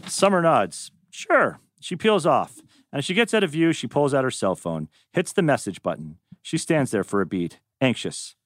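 A man's voice: medium pitch, sing-song, moderate pace, dry recording, clean studio sound. A man reading stage directions as scripted narration at a table read.

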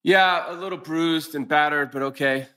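A man's voice: fairly high pitch, moderate pace, bright, forceful tone, smooth. A man playing an earnest middle-aged husband in a dramatic table read, sounding natural and conversational. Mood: shaken. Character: earnest middle-aged husband torn over old flame.

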